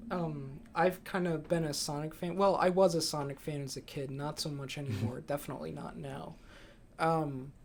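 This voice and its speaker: A young man talking casually as a podcast host about films, in an informal conversational style. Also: a little high. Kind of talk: casual movie chat. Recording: clear background room noise.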